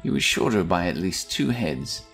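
A man reading a scripted fantasy story as an audiobook narrator, in a dramatic, deliberate manner. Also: deep voice, sing-song, creaky, fairly bright tone, faint music behind.

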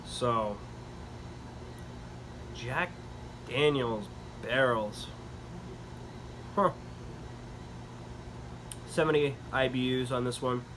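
A young man casually reviewing beer at home, talking through each sip with relaxed, enthusiastic comments and frequent fillers, filmed in a small wood-paneled room. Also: mid-range voice, very slow, pauses often, noisy background.

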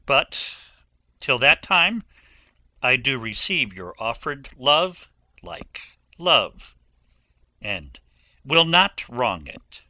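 A man's voice: medium pitch, very animated, bright, forceful tone, dry recording, full character voice. An actor playing a proud young nobleman who guards his honour, speaking formally in a Shakespearean dramatic reading. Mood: proud, guarded. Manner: deliberate, formal.

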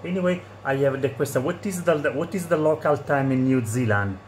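A man's voice: medium pitch, brisk. A man from Italy with an Italian accent, a native Italian speaker, making an amateur radio contact with phonetic call signs and repeated phrases. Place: indoors at a radio set. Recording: noisy handheld room recording.

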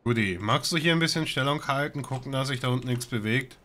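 A man's voice: mid-range voice, quick pace. A man talking casually and jokingly with his squad while playing a military simulation game on a headset. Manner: relaxed, joking, laid-back. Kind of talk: casual squad chatter during gameplay. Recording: gunfire and game noise behind.

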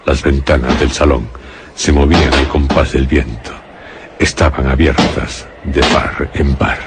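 Extremely deep Castilian male narrator. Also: steady tone, very noisy. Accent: Castilian Spanish. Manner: grave, measured storytelling. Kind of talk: suspenseful scripted radio drama narration.

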